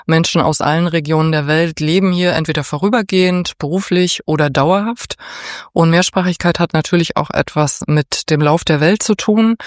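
A woman's voice: low-pitched, dry recording, clean studio sound. A middle-aged woman from Berlin giving articulate, explanatory interview answers in a formal way. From Berlin, Germany. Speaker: interview guest, head of a municipal education and culture office.

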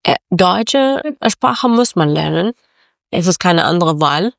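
A woman's voice: lowish voice, lively intonation, slow, vocal fry, no room sound, clean studio sound. A woman from Afghanistan, a Dari speaker, speaking hesitant German full of fillers as an interview guest. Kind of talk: radio interview.

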